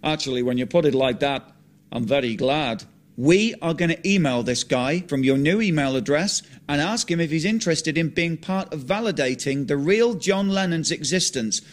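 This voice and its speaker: A man's voice: a little high, expressive, moderate pace, close to an everyday voice. A man voicing a blunt, scheming male friend who talks in a brash, teasing way.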